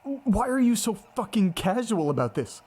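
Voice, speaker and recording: A man's voice: sing-song, moderate pace, breathy edge, heavily acted. An actor playing a distraught grown son in an audio drama, incredulous and cursing as he talks to himself. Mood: angry disbelief. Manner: incredulous, swearing, muttering to himself. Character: distraught grown son listening to his father's secret tape. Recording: loud background rumble.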